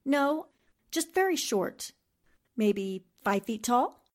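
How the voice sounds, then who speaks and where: A woman's voice: on the high side, very animated, takes it slowly. An actress playing a shrewd, self-assured newspaperwoman with a questioning manner in a 1940s-style radio drama. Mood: amused. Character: composed, shrewd, assertive newspaperwoman sleuth.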